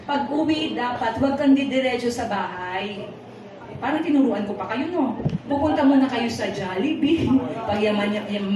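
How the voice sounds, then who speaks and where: A woman with a Filipino accent preaching conversationally in Tagalog and English, teasing and putting questions to her congregation. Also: fairly high pitch. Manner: animated and teasing, puts rhetorical questions to the congregation. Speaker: preacher at a Sunday worship service. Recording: echoey hall from far off, noisy.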